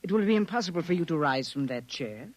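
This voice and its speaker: An actor playing a menacing alien who poses as a dutiful son, theatrical and sinister in 1950s radio drama style. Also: male voice, fairly high pitch, lively intonation, fast talker, dry recording, close to an everyday voice. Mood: gloating, sinister.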